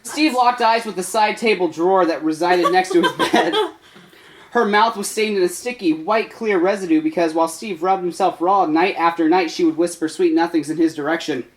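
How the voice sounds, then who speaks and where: A woman's voice: lowish voice, moderate pace. A woman reading a comic story live as part of a comedy troupe, a little tipsy and stumbling over words. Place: live show in a room.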